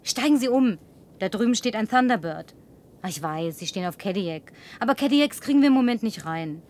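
A woman's voice: mid-range voice, lively intonation, brisk, a little mellow, a little hiss or hum, lightly acted. An actress dubbing a lonely young woman in a film who talks in a playful, coaxing way and sometimes drops to a whisper. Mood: teasing. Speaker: survivor in a film, dubbed.

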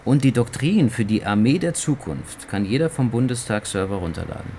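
A man playing a sceptical, world-weary journalist, speaking with a creaky voice and wry, lecturing manner in a radio drama. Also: medium pitch, expressive, brisk, a little mellow, slight background noise, close to an everyday voice. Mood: cynical. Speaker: supporting actor.

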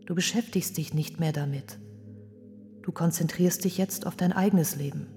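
A firm, protective sister played by an actress in a radio play, soothing yet instructing. Her voice is dark and mellow, washed in long reverb over faint music. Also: on the low side, normal speed, natural voice. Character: firm, protective sister shielding a grieving widow.

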